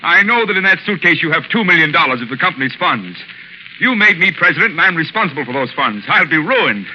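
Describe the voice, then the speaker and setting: An actor playing a desperate, honest company president in a 1930s radio drama, pleading with agitated insistence. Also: male voice, fairly high pitch, very animated, fast talker. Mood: anxious, indignant. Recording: muffled, noisy 1930s radio recording.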